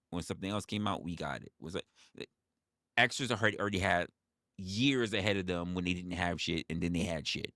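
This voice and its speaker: A man's voice: low voice, vocal fry, fairly bright tone, no room sound, clean studio sound. A man in his forties hosting a casual livestream monologue, emphatic and profane, often repeating his phrases for effect. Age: 40s. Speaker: livestream host.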